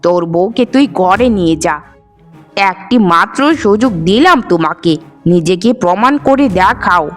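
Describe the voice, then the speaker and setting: A woman's voice: mid-range voice, expressive, moderate pace, lightly acted. A woman voicing a domineering, scornful middle-aged mother in a melodramatic cartoon, bossy and scolding. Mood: stern. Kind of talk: Bengali cartoon dubbing, melodrama. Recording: music behind the voice. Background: background music.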